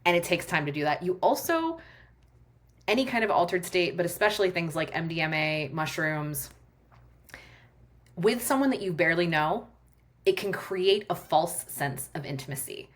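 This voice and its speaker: A woman's voice: fairly low pitch, expressive, moderate pace. A woman guest, a sex and relationship coach, talking frankly and animatedly in a casual podcast conversation, swearing freely.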